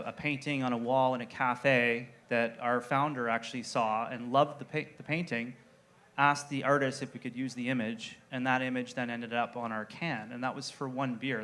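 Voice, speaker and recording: A man representing a brewery on a panel, answering in a relaxed, story-telling way with frequent fillers. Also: medium pitch. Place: event room with an audience.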